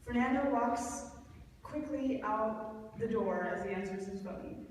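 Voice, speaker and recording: A young woman reading stage directions as narrator of a live, impromptu play reading, a little halting with corrections. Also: fairly high pitch. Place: large reverberant room at a live reading event. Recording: echoey hall from far off, noisy.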